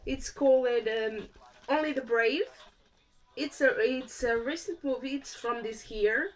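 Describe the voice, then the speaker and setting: A woman's voice: a little high, unhurried. A young woman from Portugal with a Portuguese accent, chatting casually about movies she watched, with many fillers and restarts. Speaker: vlogger. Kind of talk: casual movie review vlog.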